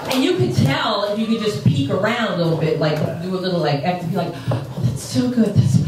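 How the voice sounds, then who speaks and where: A woman from New Jersey doing a brash, animated stand-up set in a comedy club. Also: mid-range voice, brisk, heavy reverb, very noisy. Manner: brash, animated, swinging into mocking voices. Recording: distant mic in a loud club with crowd laughter.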